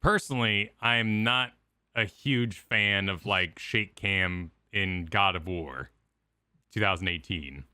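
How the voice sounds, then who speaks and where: Male gaming co-host, sharp-edged low voice, dry close sound, measured and opinionated in casual discussion. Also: smooth, dry recording. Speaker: co-host of a gaming reaction stream.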